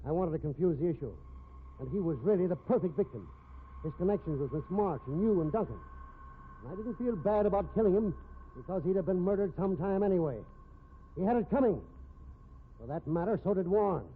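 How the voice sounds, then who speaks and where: A man's voice: high voice, lively intonation, fairly quick, long pauses. An actor playing a cold, haughty businessman murderer in a 1950s radio drama, speaking formally and precisely to justify himself. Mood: coolly confessing. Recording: tinny band-limited old radio recording, hissy.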